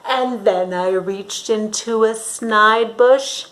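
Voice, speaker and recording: A woman reading a storybook aloud to young children in an expressive, dramatic way, pausing to ask her listeners questions. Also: on the high side, rough recording.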